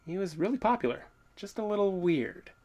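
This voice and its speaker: A man's voice: high voice, expressive, fairly quick, darkish tone, slight background noise. An actor playing an earnest, touchy man exploring an old building, stammering a little in naturalistic audio drama dialogue. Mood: earnest storytelling. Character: earnest, touchy male urban explorer telling local legends. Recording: echoey hollow room sound.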